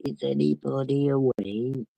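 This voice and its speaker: A man's voice: mid-range voice, fairly even intonation, unhurried, gravelly, mellow, dry recording, poor audio. A man from England with a British accent, casually chatting with viewers on a late-night phone stream because he can't sleep. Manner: chatty, greets viewers by name. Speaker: livestream host.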